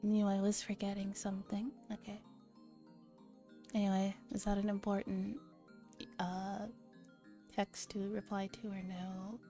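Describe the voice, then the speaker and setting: A woman streamer softly reading a visual novel aloud in an ASMR style, with gentle, casual asides to her chat. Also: medium pitch, completely flat delivery, unhurried, pauses often. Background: music.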